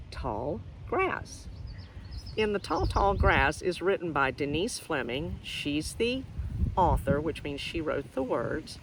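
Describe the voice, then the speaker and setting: Woman teacher, children's read-aloud, very lively pitch swings, unhurried pace, outdoor background noise. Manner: expressive, addresses children directly with questions. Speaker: teacher reading a picture book to children. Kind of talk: read-aloud and simple explanations for young children. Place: outdoors in nature.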